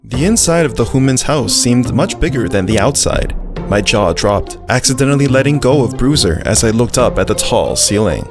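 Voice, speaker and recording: Someone voicing a male pit bull, eager, chirpy, naive, sweet, highly animated pitch, visual novel storytelling, music behind. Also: normal speed, lightly acted. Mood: gentle narrating. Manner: eager, chirpy, childlike wonder. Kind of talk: character dialogue and first-person narration in a visual novel.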